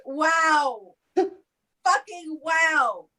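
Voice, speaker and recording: A woman's voice: sits high, expressive, very slow, pushed and bright. A woman in casual comedic banter, blunt and teasing, swearing freely.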